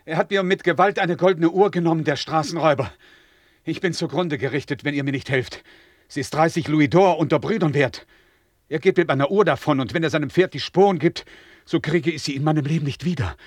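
Male actor as indignant peddler, radio drama, raised pitch, clamouring, whining, dry studio sound. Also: brisk, no room sound, close to an everyday voice. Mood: desperate, pleading. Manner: excitable, clamouring. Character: agitated peddler crying thief, indignant and whining. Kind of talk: German radio play acting.

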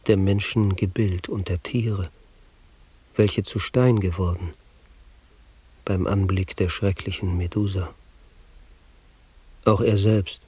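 An actor playing a solemn man who recites an ancient mythic tale in verse, in a grave, declamatory, husky manner for a radio drama. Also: lowish voice, warm tone, dry recording.

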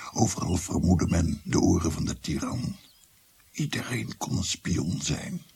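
An actor playing a weary Renaissance court physician, telling his past in a measured, sombre, confiding way in a radio drama. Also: sits low, vocal fry, darkish tone, breathy edge, heavily acted. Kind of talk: radio drama narration, reflective storytelling.

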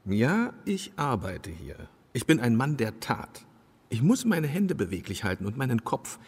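Eccentric self-important guard, radio drama: halting, doom-minded, wildly varied pitch, big echoing space. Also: male voice, very animated, darkish tone, husky. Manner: halting, rambling, pompous. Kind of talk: German radio play acting. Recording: long reverb of a large hall.

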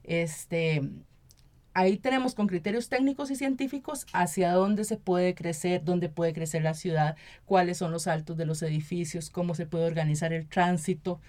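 Costa rican lady activist in a radio interview, lowish voice, earnest, explains stuff and adds little tag questions. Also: normal speed, darkish tone. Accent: Costa Rican Spanish. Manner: earnest, often ends points with a checking tag question. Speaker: interview guest, environmental activist and municipal council member.

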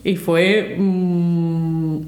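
Low-pitched Spanish woman in a roomy space. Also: flat delivery, very slow, a little mellow. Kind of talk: casual reflective conversation. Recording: roomy echo with music underneath. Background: music.

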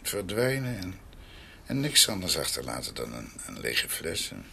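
Weary ageing doctor, noisy recording. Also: male voice, low-pitched, wildly swooping pitch, creaky, bright, forceful tone, full character voice. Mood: wry, musing. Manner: halting, repeats himself. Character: world-weary ageing doctor, wry and hesitant. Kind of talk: Dutch radio drama acting.

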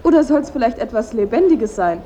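An actress playing a brisk, eager saleswoman rattling off sales patter in a radio play. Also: fairly high pitch, animated, mellow, close to an everyday voice. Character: brisk, eager saleswoman offering her wares.

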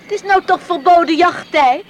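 An actress playing a sharp-tongued, scornful young island woman in a radio play, tart and dismissive. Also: very high-pitched, normal speed, a little hiss or hum. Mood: teasing, tart. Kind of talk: Dutch radio play acting.

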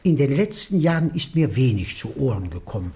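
Elderly priest, radio drama, absent-minded, hesitant, earnest, very animated intonation. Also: male voice, medium pitch, a little fast, creaky. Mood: earnest, rambling. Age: older. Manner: hesitant, rambling, repeats himself, absent-minded. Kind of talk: German radio drama acting.

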